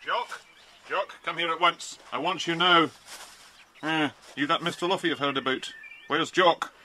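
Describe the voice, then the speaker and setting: A man's voice: a little high, fairly even intonation, unhurried, pushed and bright. An actor playing a bad-tempered, shifty stepfather, blustering and insistent, in a children's audio drama. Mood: angry, demanding. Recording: hissy 1990s cassette tape.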